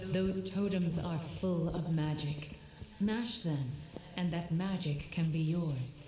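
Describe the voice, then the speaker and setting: An actress playing a wise spirit guide and mentor in a video game, with grave, measured, instructive delivery. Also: female voice, fairly low pitch. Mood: guiding, calm. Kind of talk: video game exposition acting. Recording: reverberant voice under loud game music.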